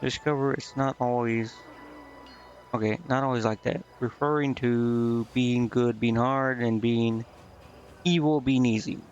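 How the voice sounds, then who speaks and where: A man's voice: mid-range voice, fairly even intonation, measured pace, soft background music. A man with a mid-range voice talks casually through a video game playthrough. He rambles as he thinks aloud and stumbles when reading text out. The recording is a bit dull and lo-fi. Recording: dull, lo-fi microphone sound.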